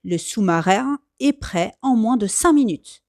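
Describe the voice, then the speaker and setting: A woman reading a children's storybook aloud as expressive scripted narration. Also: fairly high pitch, unhurried, dry recording, clean studio sound. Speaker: storybook reader.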